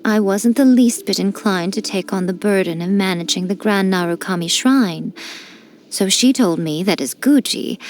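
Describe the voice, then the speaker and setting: A woman's voice: mid-range voice, a little mellow. A woman voicing a mischievous fox-spirit shrine priestess in a game, playful and teasing. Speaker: game character. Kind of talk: studio game voice lines.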